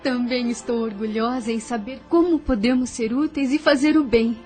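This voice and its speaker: A woman's voice: a little high, steady tone, moderate pace, close to an everyday voice. A woman playing a devoted young mother in the spirit world, polite and earnest, in a Brazilian audio novel. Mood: joyful. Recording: prominent music behind the voice.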